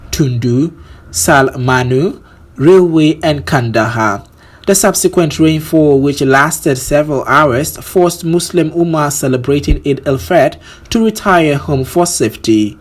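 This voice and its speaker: A man with a Nigerian accent reads scripted news as a presenter, in a formal, measured delivery. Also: fairly high pitch.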